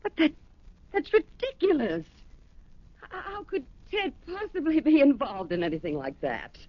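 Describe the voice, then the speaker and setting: An actress playing an anxious, devoted middle-aged wife in a 1970s radio drama, speaking urgently and falteringly. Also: female voice, sits high, big pitch swings, unhurried, dry recording, lo-fi sound. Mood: distressed disbelief. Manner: urgent, faltering, earnest delivery.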